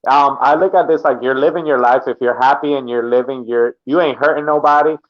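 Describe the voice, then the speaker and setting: A man from Puerto Rico, a guest on a podcast, chatting casually in a relaxed, rambling way full of fillers. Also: mid-range voice, fairly quick, dull audio.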